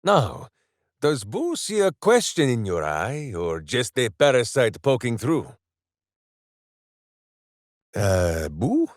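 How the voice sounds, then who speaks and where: A man's voice: mid-range voice, huge pitch swings, takes it slowly, pauses often, no echo, clean studio sound. A man playing a dim, big-hearted warrior hero in a video game, boisterous and theatrical, speaking of himself in the third person. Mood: earnest, simple-hearted. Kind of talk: video game character voice lines.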